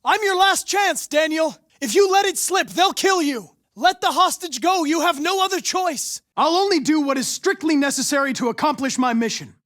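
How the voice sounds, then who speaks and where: A man's voice: extremely high pitch, animated, moderate pace, few pauses, fairly bright tone. A man voicing a polite, coolly logical young android detective in a video game, with formal, precise phrasing. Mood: forceful, urgent. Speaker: police investigator android.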